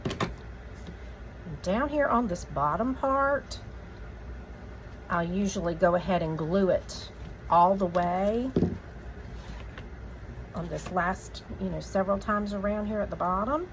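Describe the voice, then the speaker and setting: A woman hosting a craft tutorial, giving relaxed, chatty step-by-step instructions in a voice a little croaky from a cold. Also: medium pitch, slow pace, pauses often, noisy background.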